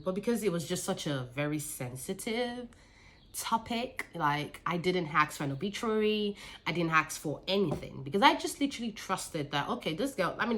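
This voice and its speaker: A Nigerian woman tells a story to camera, her voice bright and ringing, with a low-set pitch that swoops up and down. She talks in an animated, emphatic way and repeats phrases to drive her point home. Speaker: vlogger, business owner. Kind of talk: casual storytime monologue.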